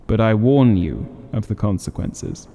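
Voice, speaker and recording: A man voicing a gruff old sergeant major, slow, solemn and dogged, as an audiobook character. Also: lowish voice, expressive, mellow. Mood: solemn warning. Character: grave, gruff old soldier, a sergeant major back from India. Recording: long reverberant hall-like echo.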